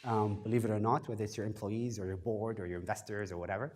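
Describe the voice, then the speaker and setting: Fast-talking man giving casual lecture. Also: lowish voice, level tone, a little mellow, slight background noise. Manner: fast-talking, self-deprecating, frequent fillers. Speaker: guest speaker at startup workshop. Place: event space, in front of audience.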